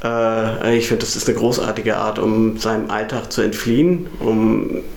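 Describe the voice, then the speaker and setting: In a clearly echoey room, a man gives a spoken testimonial. His voice is fairly low and stays flat in pitch as he reflects. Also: a little slow.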